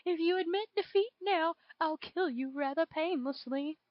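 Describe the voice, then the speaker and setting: A defiant young martial-arts hero performed by a woman reader speaks boldly and dramatically in a very high voice. The storybook performance has a dry, slightly lo-fi sound. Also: moderate pace, no room sound. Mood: cool defiance. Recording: dull lo-fi home recording.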